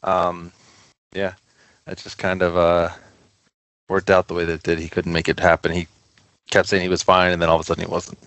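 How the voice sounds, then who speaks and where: A man from the United States chatting casually as an interview guest, halting and unassuming. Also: sits low, monotone, fairly bright tone, no room sound. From Buffalo, United States. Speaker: interview guest, record label co-founder. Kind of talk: casual chat.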